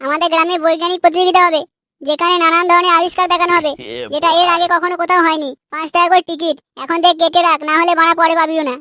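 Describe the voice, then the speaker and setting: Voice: extremely high pitch, flat delivery, racing, sharp tone, dry recording, clean studio sound. A performer voicing a quarrelsome, mocking cartoon character in a Bengali comedy dub, with crude scolding delivery. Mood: teasing.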